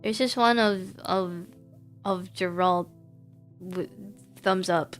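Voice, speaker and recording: A woman's voice: on the low side, slow pace. A woman livestreaming a game, switching between casual, playful chat and reading the game's text aloud. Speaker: streamer playing and reading aloud a horror game. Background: music.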